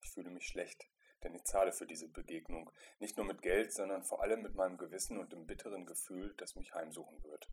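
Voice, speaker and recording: Deep-voiced man reading an introspective story. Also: fairly even intonation, fairly quick, a little mellow, slight background noise. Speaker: narrator reading a short story. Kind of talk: scripted first-person literary narration.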